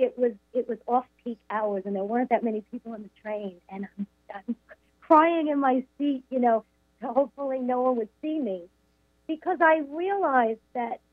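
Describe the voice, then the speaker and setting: A woman's voice: a little high, dry recording. A middle-aged woman with a New York accent, a radio guest chattily telling her life story with frequent ums. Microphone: phone call. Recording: tinny phone line.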